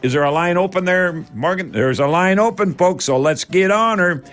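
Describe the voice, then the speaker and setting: A man's voice: sits high, animated, moderate pace, a bit bright. An older Canadian man hosting a home improvement call-in radio show in a folksy, rambling, emphatic way, speaking straight to his listeners.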